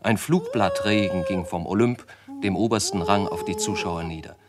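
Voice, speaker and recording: A man with a fairly low voice narrating a radio documentary. He reads scripted factual text in a composed and formal manner. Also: moderate pace.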